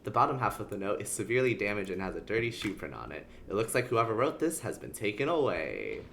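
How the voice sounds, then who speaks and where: A man's voice: on the low side, sing-song, fast, vocal fry, fairly bright tone, slight background noise. A man livestreaming a game, reading text aloud and adding casual, playfully sarcastic commentary. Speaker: game streamer.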